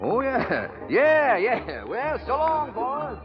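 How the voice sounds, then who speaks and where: An actor playing an eager, folksy cowhand with an American Western accent in an old-time radio western. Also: male voice, very high voice, huge pitch swings, slow pace, full character voice. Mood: eager, excitable. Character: excitable, folksy cowhand called Shorty, eager and friendly. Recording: muffled vintage radio broadcast with music.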